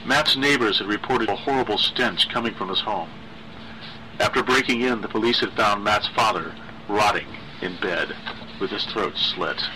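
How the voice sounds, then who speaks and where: A man's voice: fairly low pitch, moderate pace, very noisy. A man reading a horror story aloud as a dramatic narrator.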